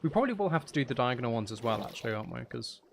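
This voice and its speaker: A man's voice: mid-range voice, big pitch swings, very fast, vocal fry, a little hiss or hum. A man chatting casually on a gaming livestream, thinking aloud as he builds and bantering playfully with viewers, with some mild swearing. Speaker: livestream host.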